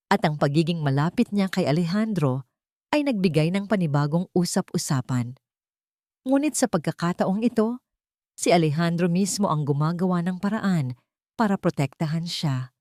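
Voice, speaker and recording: A woman's voice: fairly low pitch, animated, normal speed, a little mellow, no room sound, clean studio sound. A woman reading a scripted dramatic love story as an audiobook narrator.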